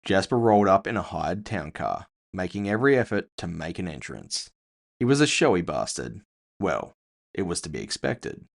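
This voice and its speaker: Deep guy narrating a story in first person, wry, lots of movement in the voice. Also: big pitch swings, normal speed, vocal fry.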